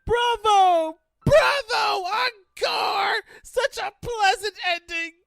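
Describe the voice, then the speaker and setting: A man playing a deranged, gleeful killer in a fan audio drama, theatrical, gloating and mocking, with drawn-out words. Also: very high-pitched, creaky, a bit bright, dry recording, shouting, heavily acted. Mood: ecstatic.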